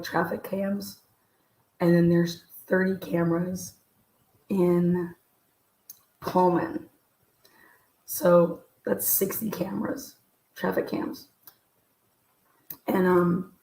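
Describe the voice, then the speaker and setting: Very raspy, low-voiced woman hosting. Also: a little mellow, roomy sound, rough recording. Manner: halting, frequent throat clearing. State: voice worn out from overuse. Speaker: host. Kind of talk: casual livestream case commentary.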